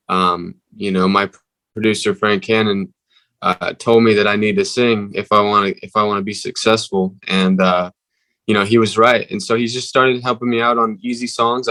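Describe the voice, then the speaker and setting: Young man with a deep, flat voice. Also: dry recording. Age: young adult. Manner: halting, many fillers and restarts. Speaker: musician guest. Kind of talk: interview answers.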